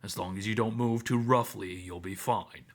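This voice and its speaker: A man playing a calm, blunt anthro student medic in a visual novel, speaking in a measured, clinical way. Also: lowish voice. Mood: matter-of-fact.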